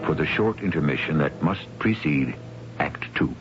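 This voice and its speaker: A man hosting a radio mystery drama as a solemn, philosophical narrator with measured, theatrical delivery. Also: a bass voice, animated, heavy background noise. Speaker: host and narrator of a radio anthology. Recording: muffled 1970s radio broadcast, music behind.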